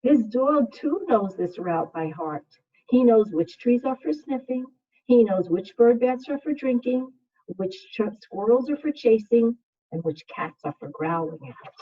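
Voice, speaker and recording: A woman teacher speaking to young children in a read-aloud style, with measured, simple phrasing over a video call. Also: a little high, expressive, slightly rough. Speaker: second grade teacher. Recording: muffled, phone-like video call audio.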